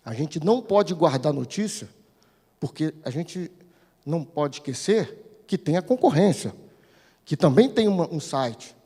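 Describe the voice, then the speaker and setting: A Brazilian man with a somewhat high voice presents at a conference. He explains things in an informal, didactic way, posing questions and then answering them. The hall gives his voice a long reverb. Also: expressive, a little slow, warm tone, slightly rough. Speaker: speaker at a conference, regional TV news editor-in-chief. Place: large indoor conference hall.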